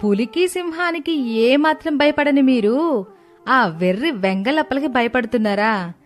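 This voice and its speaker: A woman's voice: high-pitched, animated, measured pace, soft background music. A woman voicing a village wife who speaks her mind to her husband. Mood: earnest. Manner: scolding, exasperated. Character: sharp-tongued village wife, adult woman.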